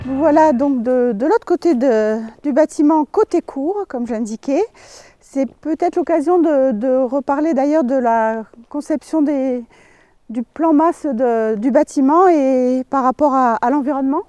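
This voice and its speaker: A woman with a fairly high but mellow, relaxed voice leads an unscripted visit as interviewer. Her phrasing is tentative and halting, and the sound is dry. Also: dry recording.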